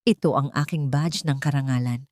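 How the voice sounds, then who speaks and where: A woman's voice: extremely low pitch, normal speed, a little mellow, no echo, clean studio sound. A woman reading a first-person story as scripted audiobook narration, in an earnest, dramatic storytelling manner.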